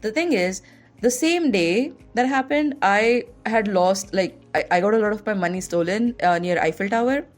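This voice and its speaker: A young woman speaking with an Indian English accent tells stories in a loose, chatty way, with "like" scattered through her sentences. She talks as a podcast host, with soft music faintly underneath. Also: mid-range voice, expressive, moderate pace. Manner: chatty, heavy use of "like" fillers. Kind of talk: casual personal storytelling.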